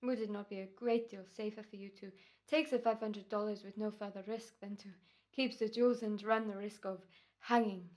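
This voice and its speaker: A woman's voice: medium pitch, steady tone, normal speed, darkish tone, lightly acted. A woman playing a formal, courteous and menacing doctor in an old-time radio drama. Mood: polite menace. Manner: courteous, precise, ominous. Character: smooth, menacing, formal doctor turned murderer in radio rehearsal.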